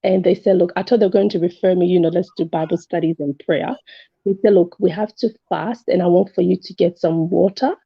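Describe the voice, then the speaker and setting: A woman's voice: lowish voice, fairly even intonation, fairly quick, creaky, husky, dry recording. A woman calling in to a talk show, telling a personal story in a casual, conversational way and re-enacting dialogue. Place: remote call into an online show. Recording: muffled, dry call audio.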